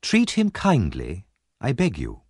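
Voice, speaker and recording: A man voicing a kind young gentlewoman in an audiobook story, polite, concerned and in command. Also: fairly low pitch, wildly swooping pitch, slow pace, no echo, studio quality, heavily acted. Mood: commanding, gentle.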